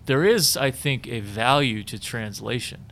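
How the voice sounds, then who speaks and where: A man's voice: medium pitch, a little slow, fairly bright tone, a little hiss or hum. A man hosting a conversation, asking searching questions with frequent restarts. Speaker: interviewer host. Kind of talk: casual chat.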